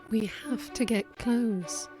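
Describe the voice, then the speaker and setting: A woman's voice: on the high side, slow, music in the background. A woman acting a firm, practical young woman leading her friends in an audio drama. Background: drama score.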